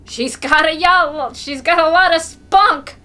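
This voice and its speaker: A woman voicing a savage, drunken peasant man in a dramatic story reading, yelling in fury. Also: extremely high pitch, slow pace, voice pushed up, heavily acted. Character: furious, brutal drunken peasant man whipping a horse.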